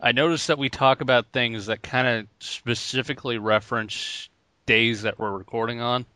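A man's voice: medium pitch, steady tone, moderate pace, pushed and bright, clear, resonant tone, dry recording. A man co-hosting a podcast in casual joking banter, laughing often. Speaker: podcast co-host. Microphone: podcast mic.

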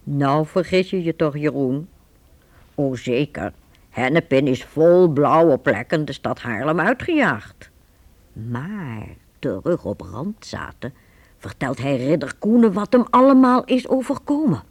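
A woman narrating a radio play in a calm storytelling manner. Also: deep voice, measured pace, breathy edge, no room sound.

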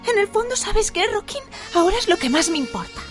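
An actress with an accent from Spain playing an excitable, dramatic young aspiring pop singer in a comic radio drama. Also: female voice, very high voice, fairly quick. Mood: tender. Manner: exclamatory, emotional, swings from whining to elated. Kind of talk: comic radio fiction acting. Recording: loud music and crowd behind.

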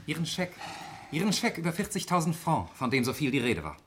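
Level-headed accuser, lively intonation. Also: male voice, a little high, brisk, a little hiss or hum. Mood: measured, accusing. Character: level-headed nephew, quietly accusing and probing. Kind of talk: 1960s German radio drama acting.

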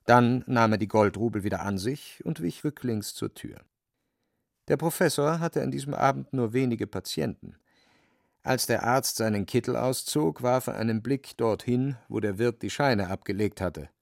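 A man narrating a literary radio play from a script, with a creaky, dry voice. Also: medium pitch, normal speed, no room sound.

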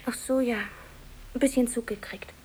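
An actress in a 1950s German radio play as a young secretary, subdued, hurt and defensive. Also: female voice, on the high side, fairly even intonation, normal speed, dark tone, lightly acted, rough recording. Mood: hurt, evasive. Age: young adult. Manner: subdued, evasive, pouting. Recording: hissy 1950s radio recording.

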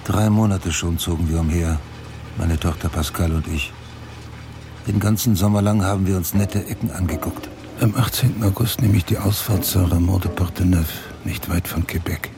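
An actor playing a grieving widowed father of about forty in a radio drama monologue, speaking in terse, measured, brooding phrases. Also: deep voice, background noise. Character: grieving widowed father looking back on a fresh start. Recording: music laid loudly under the voice. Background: music.

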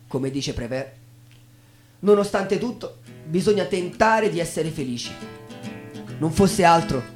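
A man declaims a stage monologue. His voice is fairly high for a male, and he lands each phrase emphatically, as if counting through a list. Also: a little mellow. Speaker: stage performer. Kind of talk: theatrical spoken monologue.

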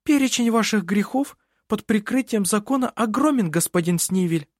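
A man's voice: sits high, expressive, normal speed, no room sound, clean studio sound, pitched above the performer's own voice. A man voicing a proud, defiant young woman in an audiobook.

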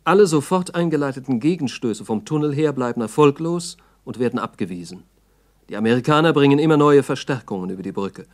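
A man narrating a 1960s documentary radio drama in a sober, factual manner with measured diction. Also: mid-range voice, expressive.

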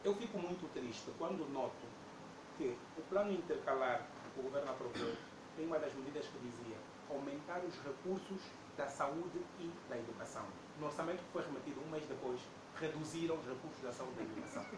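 A man's voice: fairly high pitch, a little fast. Emphatic Angolan man in an echoey hall. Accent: Angolan Portuguese. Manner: emphatic, rhetorical questions, indignant. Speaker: debate speaker. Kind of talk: public debate talk. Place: debate hall indoors. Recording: echoey room with background noise.

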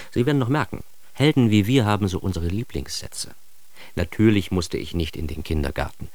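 A man's voice: deep voice, no echo. An actor playing an ironic, self-important man who narrates his childhood in a radio drama, with wry, mock-grandiose emphasis. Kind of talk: scripted first-person radio drama narration.

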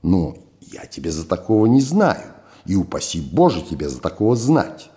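A man's voice: low voice, very animated, moderate pace, mellow. A man voicing a shrewd, wealthy older male merchant who talks down to people in a wheedling way. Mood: condescending. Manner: condescending, wheedling.

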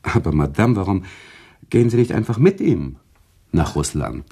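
Reflective storyteller, radio drama, dark relaxed timbre, gravelly edge, measured, restrained. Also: male voice, low-pitched, heavily acted. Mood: gentle, questioning. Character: reflective male author-narrator, a sober, sympathetic confidant. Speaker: narrator. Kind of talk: radio drama narration and dialogue.

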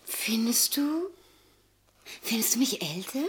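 A coquettish ageing mistress of the house in a radio drama. Her voice is husky and swoops widely as she coaxes, vain and wistful. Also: fairly high pitch, expressive, takes it slowly, exaggerated voice. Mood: wistful, needy. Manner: theatrical, wistful, coaxing. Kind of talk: German radio play acting.

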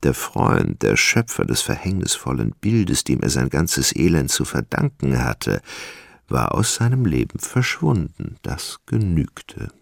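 A man narrating a literary radio play in a deliberate, suspenseful, dramatic style. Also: a bass voice, big pitch swings, creaky, no room sound, studio quality. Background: music.

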